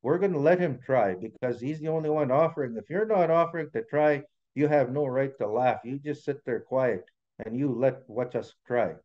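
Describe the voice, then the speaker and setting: A man's voice: medium pitch, normal speed, lo-fi sound, natural voice. A man voicing a protective trickster leader, firm and urgent as he commands his animal brothers and sisters.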